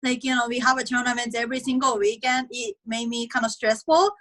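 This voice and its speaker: A woman's voice: a little high, steady tone, brisk, fairly bright tone. A young woman from Japan with a Japanese accent, a college tennis player chatting in an interview, speaking non-native English full of "like" and "you know" and laughing often. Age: young adult. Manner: giggly, many fillers like "like" and "you know". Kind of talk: casual interview, video call.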